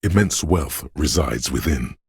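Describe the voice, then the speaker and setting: A man voicing a brooding inner voice that senses a rainy city, narrating a video game in a slow, solemn, poetic and deliberate way with a gravelly, husky tone. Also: a bass voice, animated, darkish tone, no room sound, clean studio sound, exaggerated voice. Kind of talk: scripted atmospheric second-person narration.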